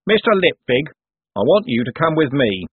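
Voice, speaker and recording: A man voicing a gruff, blunt city watch commander in an audiobook, speaking curtly and with authority. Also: on the high side, big pitch swings, moderate pace, no echo, pitched above the performer's own voice. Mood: stern, commanding. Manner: curt, authoritative. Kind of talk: audiobook character voice. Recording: thin phone-like narrow-band audio.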